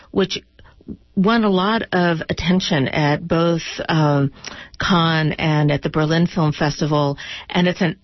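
A woman's voice: lowish voice, dry recording, dull audio. A woman hosting a radio interview in a conversational, unscripted way.

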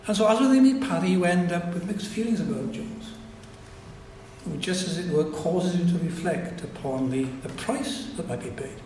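A man's voice: high-pitched, normal speed, a little mellow, a little hiss or hum. An older man with a Welsh accent, a native Welsh speaker, giving a reflective public lecture with frequent restarts. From Wales. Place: lecture hall at a society event. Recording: echoey hall recording.

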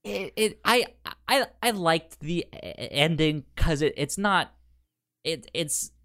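A man's voice: a little high, lively intonation, takes it slowly, fairly bright tone. A man co-hosting a podcast, chatting casually about films, often stammering and repeating words as he goes. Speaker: podcast co-host. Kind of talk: casual movie discussion.

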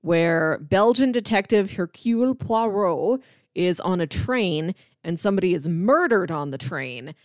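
A woman's voice: lowish voice, expressive, a little slow, no room sound. Woman co-hosting a podcast, dry close sound. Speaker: podcast co-host. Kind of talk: casual explanatory film podcast chat.

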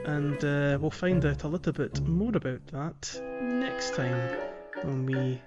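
A man's voice: fairly high pitch, slow pace. Man chatting casually over music, slightly muffled. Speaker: podcast co-host. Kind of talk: casual video game discussion. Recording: slightly dull, lo-fi, music behind. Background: background music.

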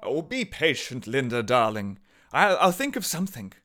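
An actor playing a desperate, devoted husband in a theatrical, pleading 1930s radio horror style. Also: male voice, mid-range voice, huge pitch swings, moderate pace, sharp tone. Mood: tearful pleading. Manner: theatrical, pleading, swinging from murmurs to outcries. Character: desperate, distraught husband devoted to his transformed wife. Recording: noisy vintage 1930s radio recording.

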